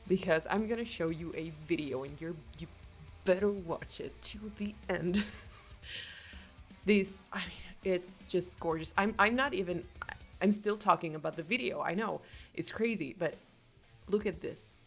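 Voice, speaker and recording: Slow-talking finland-swedish lady, low voice, super enthusiastic reaction vlog. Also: soft background music. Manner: gushing, enthusiastic. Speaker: reaction video host. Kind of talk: casual reaction commentary.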